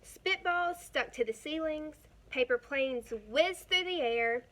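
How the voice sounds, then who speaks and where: High, bright, unhurried woman storyteller. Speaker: storybook reader. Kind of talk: expressive children's read-aloud.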